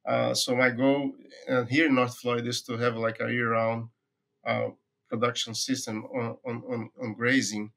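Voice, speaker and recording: A middle-aged man from Brazil with a Brazilian accent, a university agronomy professor answering interview questions in a relaxed, thoughtful way, pausing often with "uh" and repeating words as he finds them. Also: mid-range voice, vocal fry. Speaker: forage agronomy professor, guest.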